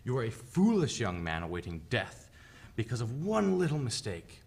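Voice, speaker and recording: A man's voice: mid-range voice, huge pitch swings, moderate pace, vocal fry, darkish tone, slightly rough, heavily acted. A man playing a coldly polite colonial government envoy in a dramatic play reading, formal and condescending, with hesitant phrasing. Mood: polite, businesslike.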